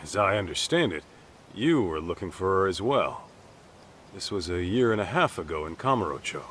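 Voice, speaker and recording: An actor playing an easygoing, plain-spoken middle-aged private detective in an English video game dub. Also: male voice, low voice, sing-song, moderate pace, a bit bright, slight background noise. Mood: probing.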